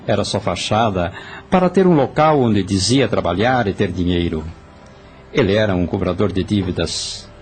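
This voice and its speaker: A man's voice: on the low side, darkish tone, close to an everyday voice. An actor with a Brazilian accent playing a guilt-ridden dead hired thug confessing his crimes in an audio drama, in a remorseful manner.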